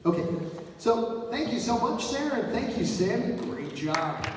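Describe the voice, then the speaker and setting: A man's voice: very high voice, big pitch swings, a little slow, background noise. A man emceeing a church talent show, chatty and self-deprecating, sometimes tripping over his words. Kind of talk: casual stage announcing. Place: church hall on stage. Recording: echoey hall, mic far off.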